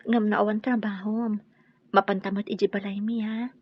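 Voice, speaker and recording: A woman playing a sweet, dutiful young wife in an Ilocano radio drama, with a husky voice. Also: mid-range voice, little pitch movement, normal speed, natural voice. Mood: tender. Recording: dull, lo-fi audio.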